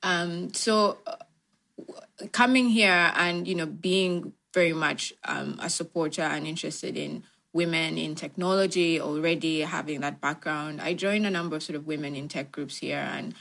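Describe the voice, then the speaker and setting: Low-pitched Ugandan woman, reflective. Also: normal speed, creaky, a bit bright. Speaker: tech organisation founder, interview guest. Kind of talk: conversational interview answers.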